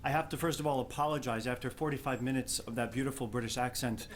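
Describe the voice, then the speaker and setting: A man's voice: mid-range voice, steady tone, quick pace, slight background noise. A man from New Jersey with a New Jersey accent, giving an articulate, wry prepared talk as an academic respondent at a live event. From Jersey City, New Jersey, USA. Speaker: professor of theology, respondent. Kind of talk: prepared speech read to an audience. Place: live public talk before an audience.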